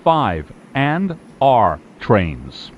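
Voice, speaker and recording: Guy doing subway train announcements, slow and spaced out, lively pitch, kinda muffled audio. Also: a little hiss or hum. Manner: slow, measured, evenly spaced delivery. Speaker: transit announcer. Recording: slightly muffled, faint ambience.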